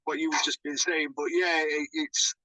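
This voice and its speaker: A man calling in to a radio show, speaking in a reflective, halting way with many restarts. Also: fairly high pitch, flat delivery, bright, forceful tone, dry recording, rough recording. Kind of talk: casual chat.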